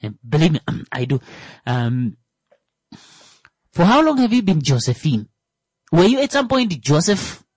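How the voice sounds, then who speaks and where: A man's voice: on the high side, sing-song, a little slow, pauses often, a little mellow, slightly rough, no room sound. A man hosting a radio talk show, joking and rambling with many fillers. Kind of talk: comedic monologue.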